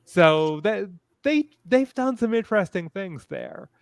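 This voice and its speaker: A man speaking as a panelist in a fan discussion, hesitant and thoughtful, often halting as he phrases things. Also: high voice, animated, smooth, no echo, studio quality.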